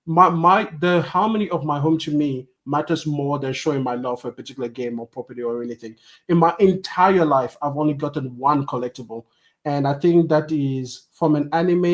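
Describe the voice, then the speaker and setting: A man hosting a gaming podcast, talking animatedly in casual chat, swearing freely and laughing often. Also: a little high.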